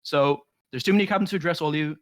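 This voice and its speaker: A young team captain rattles off cocky insults at his rivals. He talks fast, and the sound is dry and close. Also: a little high, no echo. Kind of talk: trash talk.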